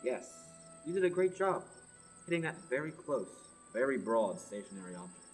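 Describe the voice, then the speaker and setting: A woman's voice: extremely low pitch, very animated, moderate pace, soft background music. A young woman acting a teasing, battle-hardened young warrior on a quest in a radio play. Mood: dry sarcasm. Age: young adult. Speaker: student actor. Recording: clear background noise, night insects.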